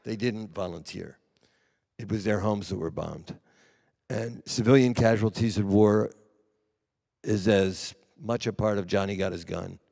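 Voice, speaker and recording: A man giving earnest panel remarks in a halting, deliberate way. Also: on the low side, gravelly, warm tone. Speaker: panel speaker. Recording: echoey hall with long reverb.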